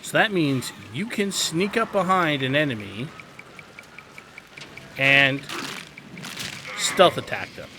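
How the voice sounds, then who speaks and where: A man's voice: a little high, slow, long pauses, background noise. A man giving a casual, instructive video game tutorial in an opinionated, emphatic way. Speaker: video game guide host.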